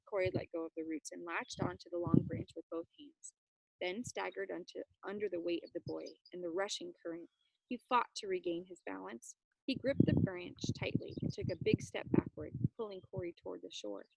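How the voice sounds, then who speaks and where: A woman's voice: mid-range voice, animated, fairly quick, creaky, a little mellow, a little hiss or hum, low-quality recording. A woman reading a children's novel aloud with dramatic, suspenseful narration. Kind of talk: expressive read-aloud narration.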